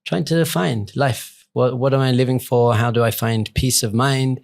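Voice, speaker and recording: A man's voice: medium pitch, steady tone, moderate pace, creaky. A man speaking as an interview guest, reflective and earnest in an informal, conversational way. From England. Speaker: rabbi and podcast guest.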